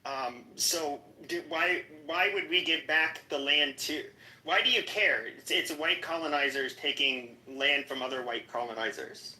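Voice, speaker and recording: A man with a somewhat high, sharply bright voice debates in an echoing room with faint noise around him. He challenges the others, halting as he works through his argument. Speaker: debate participant. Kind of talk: informal political debate. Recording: roomy echo with background noise.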